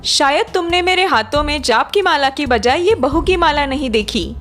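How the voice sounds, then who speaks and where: A woman voicing a shrewd, watchful cartoon mother-in-law with an animated, knowing manner. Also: sits high, moderate pace, soft background music. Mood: triumphant, knowing. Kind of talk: Hindi cartoon story voice acting.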